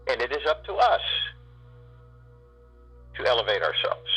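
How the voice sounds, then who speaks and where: A man guiding a spiritual meditation, speaking in slow, drawn-out phrases with long pauses. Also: medium pitch, huge pitch swings, bright, forceful tone, husky, soft background music, low-quality recording. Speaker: meditation guide.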